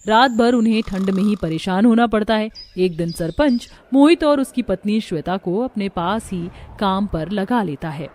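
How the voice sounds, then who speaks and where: A woman's voice: medium pitch, expressive, normal speed, few pauses, darkish tone. A woman storyteller reading scripted narration for a cartoon moral story.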